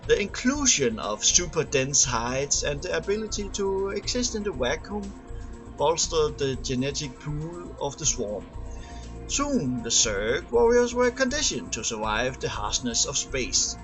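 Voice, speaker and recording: A man's voice: a little high, very animated, unhurried, rough recording. A man reading scripted lore aloud as an amateur narrator, sometimes stumbling and repeating words. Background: music under the voice.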